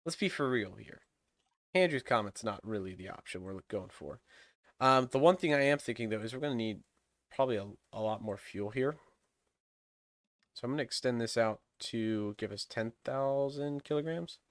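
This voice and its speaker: A man's voice: medium pitch, normal speed, dry recording, studio quality. A young man hosting a game livestream, chatting casually and thinking aloud with lots of fillers, his nose stuffed up and sniffling. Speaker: livestream host. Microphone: desk microphone.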